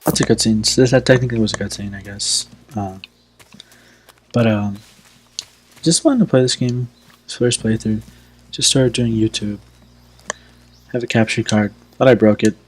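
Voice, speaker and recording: A man giving casual live commentary on a game, halting and offhand, in short clipped fragments. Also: on the low side, slight background noise. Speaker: gameplay commentator.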